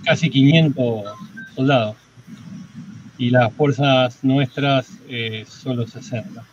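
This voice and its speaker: An Argentine man giving an informal, explanatory account of history in a halting way. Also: mid-range voice. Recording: dull lo-fi sound with noise.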